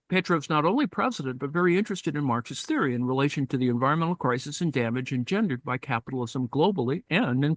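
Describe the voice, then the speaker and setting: Man reading aloud with halting commentary. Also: mid-range voice, dry recording. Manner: thoughtful, halting, many fillers and asides. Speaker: discussion leader reading aloud. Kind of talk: reading and commenting in a live-streamed discussion.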